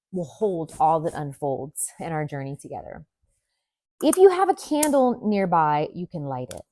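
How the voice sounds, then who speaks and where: A woman teaching yoga nidra, leading a guided meditation in a gentle, soothing manner with deliberate pauses. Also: on the low side, no room sound.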